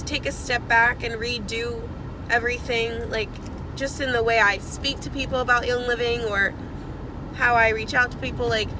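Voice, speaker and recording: A woman's voice: on the high side, noisy background. Chatty young woman recording from a car. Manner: chatty, rambling, lots of fillers. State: getting over a cold. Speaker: network marketing seller. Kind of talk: casual video message. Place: in a car, air conditioning on.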